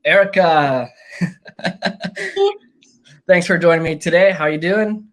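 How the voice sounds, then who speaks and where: A man's voice: fairly high pitch, slow, fairly bright tone, soft background music. A man hosting a casual interview, upbeat and encouraging, with many fillers. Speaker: interview host.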